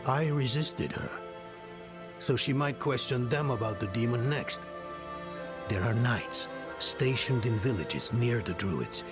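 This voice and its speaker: An actor playing a world-weary older nobleman and former demon slayer in a fantasy game, grave and measured. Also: medium pitch, lively intonation, over music, lightly acted. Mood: grave, thoughtful. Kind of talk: dramatic fantasy game acting.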